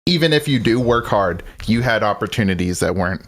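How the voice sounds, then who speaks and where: A man co-hosting a podcast in casual chat, energetic and rambling, speeding up when he makes a point. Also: on the low side, fairly quick. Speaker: podcast co-host.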